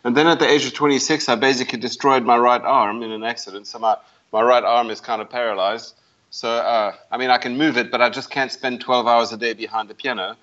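A man's voice: medium pitch, fairly even intonation, brisk, a bit bright. A middle-aged man from South Africa telling his story as an interview guest in a chatty, self-deprecating way. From South Africa, after a childhood moving between countries. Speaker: interview guest, medical company CEO. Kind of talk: conversational storytelling. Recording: slightly dull, lo-fi connection.

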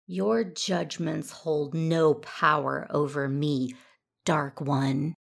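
Woman acting a low, creaky, defiant Jedi warrior. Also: steady tone, heavily acted. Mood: defiant, accusing. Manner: stern, solemn, deliberate. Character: grim, defiant young Jedi warrior sister. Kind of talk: science fantasy audio drama acting.

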